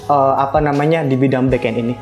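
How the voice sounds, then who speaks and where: A man's voice: medium pitch, fairly even intonation, fast, a little mellow. A man hosting a casual interview as he chats with a guest. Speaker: podcast host. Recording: roomy echo, music bed behind.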